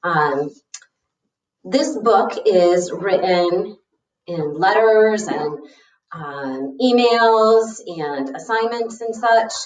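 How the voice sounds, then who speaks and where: A woman's voice: medium pitch, expressive, very slow. Female storyteller for a children's book read-aloud, casual asides, roomy and distant-miked. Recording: roomy, echoey, distant mic.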